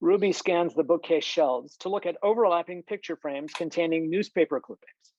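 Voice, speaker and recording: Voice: mid-range voice, moderate pace, darkish tone, dull audio. A narrator reading screenplay stage directions and scene headings in a scripted style. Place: close, dry room on a video call.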